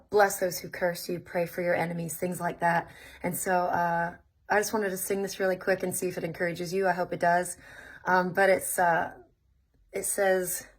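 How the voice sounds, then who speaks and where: A woman speaking softly and with faith, giving gentle spiritual encouragement with many fillers. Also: fairly low pitch.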